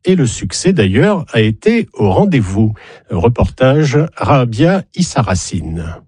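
Guy reading the radio news, husky voice, bouncy intonation, wry little asides. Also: mid-range voice, very animated, measured pace, a little mellow, no echo, studio quality. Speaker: news presenter.

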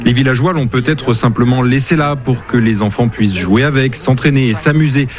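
A man reading a calm, plain voice-over translation in a news report. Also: mid-range voice, brisk. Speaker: voice-over interpreter.

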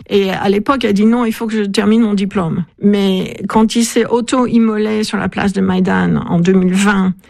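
A woman's voice: mid-range voice, creaky, darkish tone, dry recording. A woman who is a guest on a radio interview, an author recounting someone's story in a thoughtful way with frequent hesitations.

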